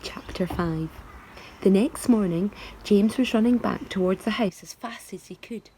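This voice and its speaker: A woman's voice: mid-range voice, a little slow, warm tone, a little hiss or hum, low-quality recording. A middle-aged woman teacher reading a children's book aloud expressively, asking her listeners questions. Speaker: primary school teacher.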